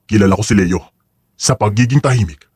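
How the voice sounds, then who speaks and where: A man playing a deferential, discreet henchman with a husky voice, reporting in a businesslike way in an audio drama. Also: fairly low pitch, a little fast, a little mellow, no echo. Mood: obedient, businesslike. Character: deferential male henchman reporting to his crime boss. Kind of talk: dramatized audio story acting.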